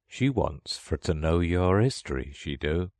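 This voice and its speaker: A man's voice: deep voice, expressive, a little slow, darkish tone, no room sound, studio quality. Man doing a brusque, scolding storybook Gryphon. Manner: blunt, rustic working-class diction. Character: brusque, scolding male Gryphon, rough uneducated beast. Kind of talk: storybook character reading.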